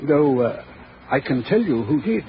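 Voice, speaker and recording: Detective radio play suspect: evasive gentleman, high-pitched, noisy old recording. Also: big pitch swings, moderate pace, muffled recording. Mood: defensive, evasive. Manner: hesitant, defensive. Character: evasive gentleman suspect, eager to shift blame. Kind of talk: 1940s radio detective drama acting.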